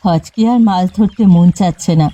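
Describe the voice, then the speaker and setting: A woman's voice: lowish voice, moderate pace, dark tone, dull audio, lightly acted. A woman voicing an upright eldest village sister in a cartoon, stern and admonishing, weary of her siblings. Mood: disillusioned, resigned. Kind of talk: cartoon dialogue. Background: faint music.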